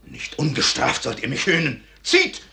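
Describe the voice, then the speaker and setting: An actor playing a proud, wounded, bitter army colonel in a 1950s German radio drama, declaiming intensely with a husky, raspy voice. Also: male voice, mid-range voice, wildly swooping pitch, moderate pace, bright, forceful tone, exaggerated voice. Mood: indignant, furious. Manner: declamatory, intense, sinking to harsh whispers.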